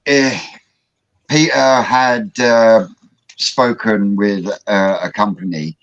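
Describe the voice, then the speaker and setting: A man's voice: lowish voice, slow pace, a bit bright, dry recording. A man hosting a casual livestream, explaining technical projects at length with many fillers and restarts, over a video call. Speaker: host. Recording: dull lo-fi audio.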